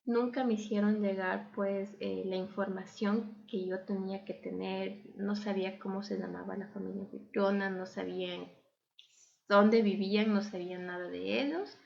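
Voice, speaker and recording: A young adult woman with an Ecuadorian accent speaks conversationally as a guest sharing her story. Her voice sits at a medium pitch with heavy creak, and the recording is a little dull and lo-fi. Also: steady tone, moderate pace. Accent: Ecuadorian Spanish. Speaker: interview guest. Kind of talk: conversational personal storytelling and advice.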